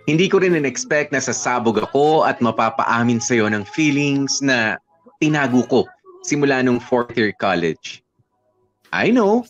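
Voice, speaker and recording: A man's voice: mid-range voice, close to an everyday voice. An actor playing a playful, sentimental young lover in a romantic radio drama monologue, teasing and mixing English into his speech. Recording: slightly dull sound with music behind.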